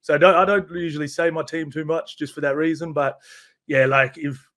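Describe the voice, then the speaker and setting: A young Australian man talks on a podcast in an easygoing, slang-heavy way and laughs often. His voice sits a little higher than most men's. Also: steady tone, a little fast, a bit bright. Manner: laid-back, slangy, says "bro" a lot, laughs often. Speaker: podcast guest. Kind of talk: casual chat.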